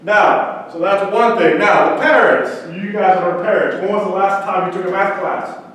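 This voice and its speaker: A man from central Mexico whose first language is Spanish, giving a lively startup pitch and quizzing the audience. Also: normal speed, distant mic. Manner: conversational, quizzes the audience with questions. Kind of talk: energetic startup pitch talk. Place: event room with audience. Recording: echoey room, speaker away from mic.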